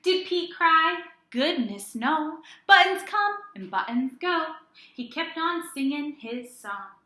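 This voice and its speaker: A woman with a high, bright voice leads a read-aloud lesson for small children. She speaks slowly and deliberately in a sing-song way, posing questions and answering them. The sound is roomy and echoing. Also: animated. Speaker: early-grades teacher.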